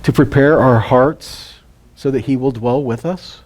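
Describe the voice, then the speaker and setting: A man's voice: medium pitch, measured pace, darkish tone. A middle-aged man teaches scripture in a folksy way, asking his listeners questions and chuckling often. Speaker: Bible teacher. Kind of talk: casual Bible teaching.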